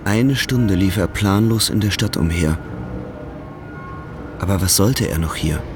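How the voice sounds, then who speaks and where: A man's voice: low voice, unhurried, music in the background. A man narrating a radio drama in a scripted literary style.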